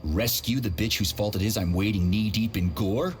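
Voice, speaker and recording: A man acts as a hard-bitten major in a video game. His voice sits on the low side, sardonic and blunt, with music running behind it. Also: moderate pace, natural voice. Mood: sardonic, irritated. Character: gruff, cynical, foul-mouthed male soldier, a major. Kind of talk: scripted video game dialogue.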